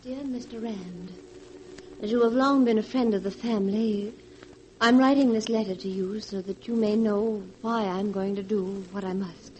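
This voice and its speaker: An actress as a sensible, earnest young woman in a 1940s radio play. Her mid-pitched voice is composed and precise, with a faint hiss of old recording noise around it. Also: fairly even intonation, normal speed, darkish tone, lightly acted. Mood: grave confession. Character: sensible, protective, grave young woman, elder-sister type. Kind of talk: 1940s radio drama acting. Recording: noisy vintage radio recording.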